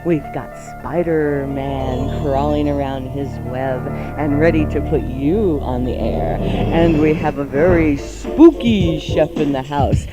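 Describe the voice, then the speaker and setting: A woman co-hosting a radio show in a theatrical, drawn-out announcer style with playful banter. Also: low-pitched. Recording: loud music under the voice. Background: music.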